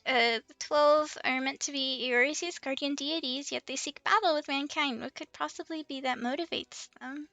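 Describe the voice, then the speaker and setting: High-pitched, giggly woman streamer. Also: fairly even intonation, moderate pace, hardly any pauses, bright, forceful tone, no echo, soft background music. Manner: giggly, playful. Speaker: livestream host playing an online game. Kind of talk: casual chat with game commentary and dialogue read aloud.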